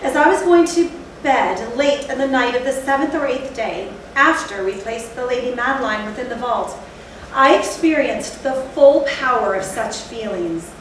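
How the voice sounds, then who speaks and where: A woman playing a haunted, fearful narrator in theatrical Gothic storytelling, with a deliberate, literary delivery. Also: on the high side, close to an everyday voice. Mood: dread. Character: haunted, fearful narrator recalling a night of horror. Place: theatre stage, recorded from a distance. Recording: echoey hall, distant mic, faint noise.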